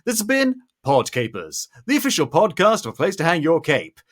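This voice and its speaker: A man hosts a podcast with plenty of enthusiasm and a playful streak. His pitch moves around a great deal and his tone is bright and punchy. The delivery is upbeat throughout. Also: huge pitch swings, brisk. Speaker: podcast host.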